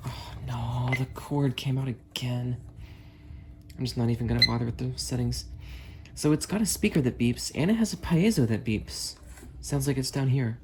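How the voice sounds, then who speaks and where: A man's voice: mid-range voice, normal speed. Enthusiastic rambling guy, noisy amateur recording. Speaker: video creator reviewing weather radios. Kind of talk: casual unboxing commentary to camera with friends listening.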